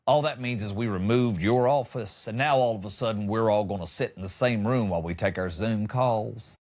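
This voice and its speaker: A man's voice: fairly low pitch, moderate pace, a little mellow. A middle-aged American man hosting a comedy podcast, riffing in a wry, exaggerating monologue and acting out lines. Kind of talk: humorous monologue on news stories.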